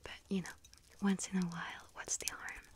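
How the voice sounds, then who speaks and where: A woman playing a sweet-toothed, friendly little witch who tends a bakery, in a whispered ASMR roleplay, speaking gently and savoringly in a breathy whisper with small hesitations. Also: fairly low pitch, level tone, normal speed, natural voice. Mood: gentle, enticing. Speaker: ASMR roleplay performer as bakery shop assistant.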